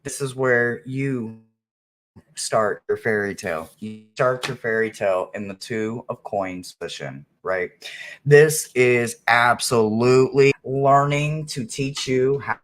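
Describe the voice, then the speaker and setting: A man giving a spoken tarot reading to viewers, talking emphatically with dramatic pauses and often checking for agreement. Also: mid-range voice. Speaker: tarot reader.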